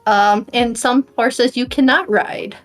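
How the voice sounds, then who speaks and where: A woman's voice: fairly high pitch, slow pace. A woman chatting casually as a guest, easygoing and matter-of-fact. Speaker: guest on a stream. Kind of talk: casual chat. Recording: music behind the voice.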